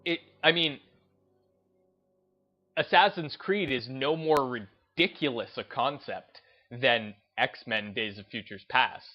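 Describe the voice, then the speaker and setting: A man's voice: fairly high pitch, lo-fi sound. A man giving casual opinion commentary as a video host, emphatic and repeating phrases for stress.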